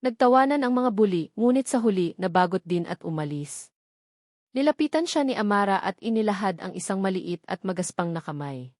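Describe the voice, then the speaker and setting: Female narrator for scripted romantic drama, mid-range pitch, dry sound. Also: sing-song, fairly quick, a little mellow, no room sound. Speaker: story narrator.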